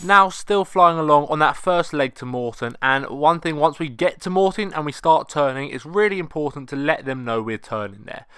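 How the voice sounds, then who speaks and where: A man's voice: fairly high pitch, animated, normal speed, few pauses, bright, forceful tone, smooth. A young British man, a student pilot, narrating an explanatory vlog voice-over.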